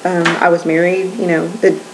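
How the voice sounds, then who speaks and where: A woman's voice: on the low side, level tone, normal speed, noisy background. A woman in her twenties chatting casually in a home vlog, rambling with lots of ums and little laughs. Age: 20s. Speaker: vlogger.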